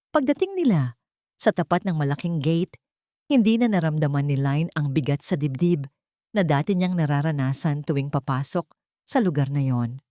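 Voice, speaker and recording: A woman's voice: low voice, dark tone, no room sound, clean studio sound. A woman reading a scripted dramatic story in an earnest, sentimental way. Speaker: audio drama narrator.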